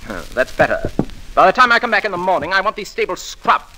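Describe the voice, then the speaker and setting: A man's voice: high voice, very animated, fast talker, background noise. A man playing a cruel, jealous, domineering young master in a 1940s radio drama, with a sneering manner. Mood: sneering, threatening.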